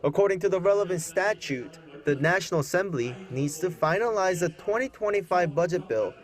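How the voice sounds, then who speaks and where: A man's voice: high voice, animated, brisk. A man working as a news reporter, narrating a scripted television report.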